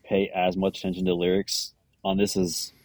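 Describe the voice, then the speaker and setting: A man's voice: low voice, completely flat delivery, moderate pace, darkish tone, no echo. A man guest on a music podcast, talking candidly with hesitant restarts. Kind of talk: casual music chat.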